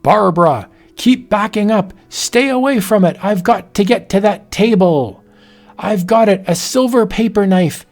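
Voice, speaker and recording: A man's voice: mid-range voice, animated, moderate pace, darkish tone, lightly acted. A man voicing a rugged, skeptical hero who turns brave in a read-aloud horror story. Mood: urgent.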